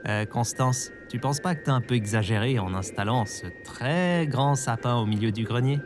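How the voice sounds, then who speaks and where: A man's voice: medium pitch, moderate pace, music in the background, close to an everyday voice. A man playing a skeptical, exasperated everyman in an audio comedy, with a dry manner. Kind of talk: audio fiction comedy acting.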